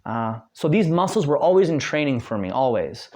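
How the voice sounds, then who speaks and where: A man's voice: medium pitch, very animated, normal speed, a little mellow. A young American man from Detroit giving a casual spoken lesson, chatty, with many fillers and restarts. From Detroit, United States. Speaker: guest language learner.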